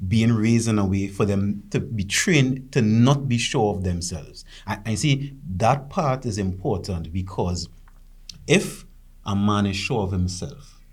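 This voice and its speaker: A man on a discussion panel who asks probing questions in a measured way and keeps checking in with "right?". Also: lowish voice, slightly rough.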